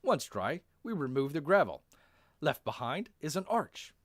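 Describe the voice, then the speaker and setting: Man voicing a terse stonemason, raised pitch. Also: sing-song, no room sound, clean studio sound. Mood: explanatory. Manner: terse, halting, simple sentences. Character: plainspoken stonemason patiently explaining his work.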